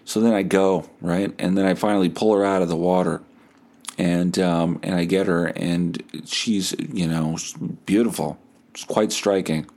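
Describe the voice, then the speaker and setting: A man's voice: low-pitched, fairly even intonation, unhurried, a little mellow. A man hosting a comedy podcast, telling a first-person story in a casual, profane way and acting out bits of dialogue.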